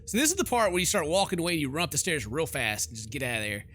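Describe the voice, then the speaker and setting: Bright, forceful male gaming host. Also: on the high side, sing-song, very fast, a little hiss or hum. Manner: jokey, theatrical reactions. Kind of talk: let's play commentary mixed with reading game text aloud.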